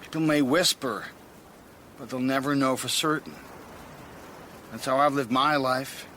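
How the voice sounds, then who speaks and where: A guarded older man with secrets in a naturalistic radio drama. His voice is forward and bright, with outdoor ambience behind him. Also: medium pitch, unhurried, slight background noise. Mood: firm, cautioning. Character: guarded, secretive older male mentor of a troubled boy.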